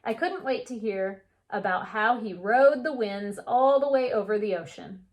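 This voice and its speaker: A woman reading a picture book aloud like a teacher, with animated, drawn-out delivery and chatty asides. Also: on the high side. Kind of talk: expressive children's read-aloud with chatty asides.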